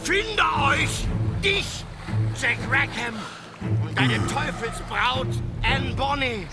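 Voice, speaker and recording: An actor playing a vengeful, hot-tempered pirate captain in a radio play, menacing and sneering. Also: male voice, very high voice, big pitch swings, slow pace, loud music behind the voice, full character voice. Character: furious, vengeful male pirate captain facing mutiny.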